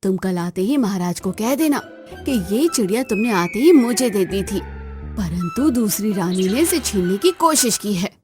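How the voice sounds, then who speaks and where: A woman's voice: mid-range voice, lively intonation, measured pace, a little mellow, faint music behind, natural voice. A woman voicing a vain, possessive and bossy queen in a cartoon story. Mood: commanding, scheming.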